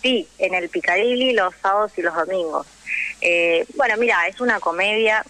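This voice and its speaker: A woman's voice: mid-range voice, moderate pace, sharp tone, low-quality recording. A young woman with a Rioplatense Argentine accent, explaining things emphatically in a conversational radio interview, often checking in with her listener. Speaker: radio guest, actress and drama teacher.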